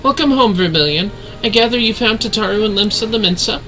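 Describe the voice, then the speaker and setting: A man's voice: high-pitched, animated, fast, bright, forceful tone, slight background noise, pitched above the performer's own voice, rough recording. A man voicing a refined, earnest woman leader in a fantasy game, speaking in formal, solemn, archaic phrasing. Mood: warm, formal.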